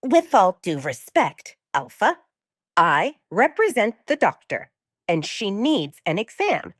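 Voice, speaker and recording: A woman's voice: medium pitch, huge pitch swings, takes it slowly, no echo, exaggerated voice. A woman narrator plays a young male pack doctor in a romance audiobook. He is courteous and professional, deferring politely, with very varied intonation in a dry recording. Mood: polite, firm. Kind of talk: audiobook romance drama acting.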